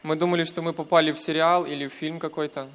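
A young man from western Ukraine with a Ukrainian accent giving a personal testimony in church, in short hesitant segments with pauses. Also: on the high side, little pitch movement, a bit bright, smooth. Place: church, speaking to a congregation.

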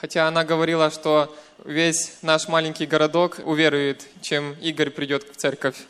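Young Ukrainian man, clear high-set voice. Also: monotone, normal speed, fairly bright tone. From western Ukraine. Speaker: guest giving a church testimony. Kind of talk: personal testimony with pauses for an interpreter. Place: church, speaking to a congregation.

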